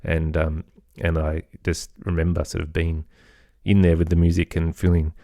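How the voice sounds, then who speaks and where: An Australian man speaking as an interview guest, thoughtful and halting as he searches for his words in reflective conversation. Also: low-pitched, completely flat delivery, dark tone, no echo, studio quality. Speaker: guest, composer and children's podcaster.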